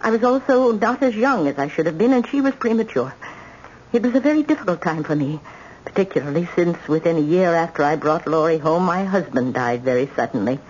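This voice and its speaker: A woman's voice: on the low side, lively intonation, brisk, a little mellow, lightly acted. Actress as proud, steely grandmother, dramatic old-time radio storytelling, muffled and hissy sound. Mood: grave, confiding. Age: older. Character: tough, proud widowed grandmother confessing family tragedy.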